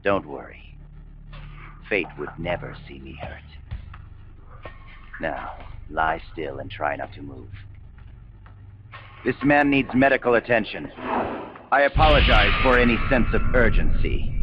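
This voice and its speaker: An actor playing a charismatic, composed military commander in a video game, speaking in solemn, measured, formal oratory. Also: male voice, lowish voice, natural voice. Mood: calm authority. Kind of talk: scripted video game voice acting. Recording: muffled lo-fi game audio under loud music.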